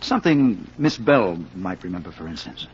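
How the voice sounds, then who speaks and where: An actor playing a direct, probing young amateur sleuth in a 1940s radio drama, on a muffled, noisy old recording. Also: male voice, sits low, big pitch swings, normal speed.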